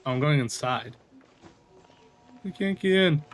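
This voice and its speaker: A man's voice: on the high side, lively intonation, a little slow. A man giving casual, chatty gameplay commentary as a streamer, reacting aloud to the game. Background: game audio and music.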